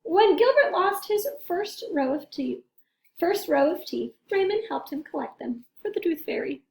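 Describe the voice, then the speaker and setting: A woman reads aloud to children in a high voice with plenty of fry. She is expressive, like a teacher, and speaks straight to her young listeners. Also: normal speed. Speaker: teacher reading a picture book aloud.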